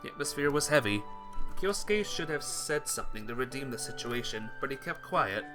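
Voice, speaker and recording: A man's voice: medium pitch, lively intonation, fairly quick. A man reading visual novel text aloud as narration, sometimes stumbling over words and correcting himself. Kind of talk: narrated read-aloud of game text, acting out dialogue. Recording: music playing behind the voice.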